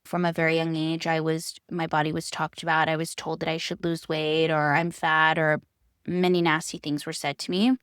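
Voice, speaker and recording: A woman's voice: sits low, level tone, normal speed, a bit bright, clean tone, no echo, studio quality. A woman in her thirties with an American accent, talking candidly and emphatically as a solo podcast host. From United States (California), born in Hungary. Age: 30s. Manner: conversational, emphatic, repeats phrases for stress.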